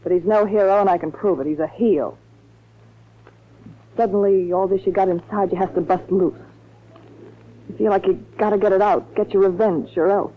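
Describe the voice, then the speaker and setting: An actress playing a bitter, brooding nightclub singer with a grudge in a 1940s radio drama. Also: female voice, mid-range voice, fairly even intonation, moderate pace, long pauses, close to an everyday voice. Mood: bitter, vengeful. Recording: dull 1940s radio recording, music behind.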